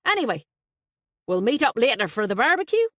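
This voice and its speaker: A woman's voice: fairly high pitch, big pitch swings, a little fast, no echo, studio quality, pitched above the performer's own voice. A woman voicing a big, jovial, chatty Irish man with a hearty, slangy, emphatic manner in an audiobook story. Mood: friendly.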